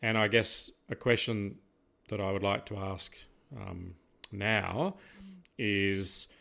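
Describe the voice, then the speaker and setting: A man co-hosting a conversational interview, speaking in a halting, emphatic and admiring way. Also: on the low side, vocal fry, pushed and bright. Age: middle-aged or older. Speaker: podcast co-host.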